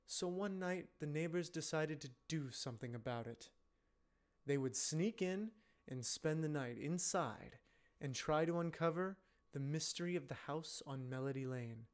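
A man's voice: on the high side, moderate pace. A man in his thirties reading scripted narration into a close desk microphone at home. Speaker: amateur voice actor. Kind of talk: scripted narration, practice reads. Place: home room. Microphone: close desk microphone with pop filter.

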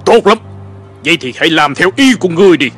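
A man's voice: a little high, expressive, fast talker, fairly bright tone, soft background music. A man playing an authoritative, deliberating warlord ruler in a historical cartoon dub. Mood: approving. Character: measured male warlord ruler weighing counsel. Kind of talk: dubbed animated historical drama.

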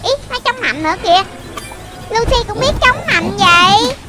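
A childlike voice giving excited running commentary on a video game for children, full of exclamations and repeated short phrases, with loud music behind it. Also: very high voice, moderate pace.

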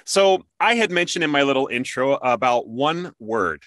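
A man's voice: medium pitch, lively intonation, normal speed, fairly bright tone, no room sound, studio quality. A man hosting an interview show, speaking with an enthusiastic, showman-like manner and chatting conversationally. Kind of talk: upbeat scripted intro and conversational interviewing.

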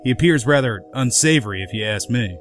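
A man's voice: medium pitch, animated, fairly quick, faint music behind, natural voice. A man voicing characters in an acted comic book dub. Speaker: voice actor.

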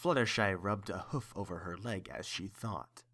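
A man's voice: fairly low pitch, moderate pace, fairly bright tone. A man narrating a scripted story.

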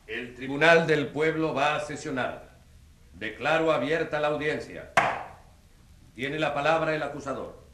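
A man's voice: on the high side, measured pace, lightly acted. An actor playing a stern tribunal judge, a man formally and authoritatively keeping order in a courtroom radio drama. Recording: echoey hall, loud crowd and noise.

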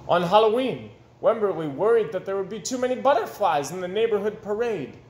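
A man in his thirties reads a children's story aloud. His voice sits fairly high and swings widely for dramatic emphasis. The room adds noticeable echo and some noise. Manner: animated, dramatic pauses and emphasis. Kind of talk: expressive children's picture-book read-aloud.

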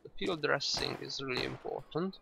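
A man narrating a shooter game tutorial in a relaxed, explanatory way, often restarting with "well" and "uh". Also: mid-range voice, normal speed, gravelly, background noise. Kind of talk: casual instructional commentary over gameplay. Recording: loud game gunfire behind the voice. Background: game gunfire and shooting sounds.

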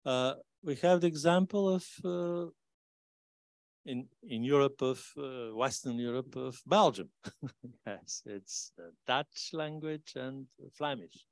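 An older man speaks slowly into a table microphone at a conference discussion. He lands heavily on key words, and his voice rises in emphatic bursts. The sound is dry, with no room echo. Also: medium pitch. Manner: emphatic, rising loud stresses on key words. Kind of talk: spontaneous academic discussion.

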